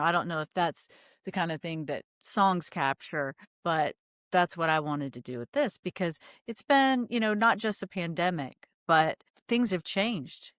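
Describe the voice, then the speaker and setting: A woman's voice: lowish voice, dry recording, clean studio sound. A middle-aged American woman hosting a casual interview in a chatty, reflective, informal way. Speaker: podcast host, interviewer.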